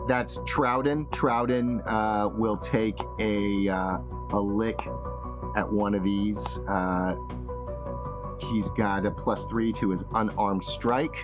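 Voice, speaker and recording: A low-pitched man acts as game master for a fantasy tabletop game. He narrates turns in a casual way, with music clearly playing behind him. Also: fairly even intonation, takes it slowly.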